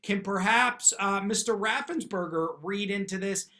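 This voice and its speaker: A man's voice: high-pitched, unhurried, bright, forceful tone. A man giving unscripted opinion commentary as a lawyer, posing questions and answering them himself, with emphatic check-in tags. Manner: emphatic, often tags points with "okay?". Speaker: lawyer commentator, video host.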